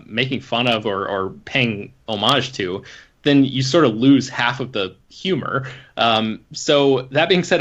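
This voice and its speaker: A man's voice: medium pitch, a bit bright. A man hosting a casual podcast chat, rambling and hedging as he talks. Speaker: podcast host. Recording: slightly dull podcast audio.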